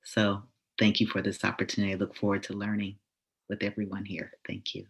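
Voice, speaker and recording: A panelist speaking earnestly on a video call, halting with fillers. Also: low voice, monotone, gravelly.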